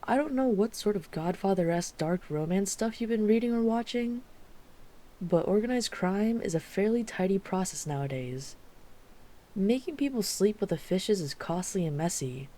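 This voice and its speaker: Woman voicing a mellow, wry female mafia boss. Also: mid-range voice, animated, moderate pace, close to an everyday voice. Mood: wry, explaining. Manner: wry, exasperated, chatty, teasing. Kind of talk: ASMR roleplay audio drama.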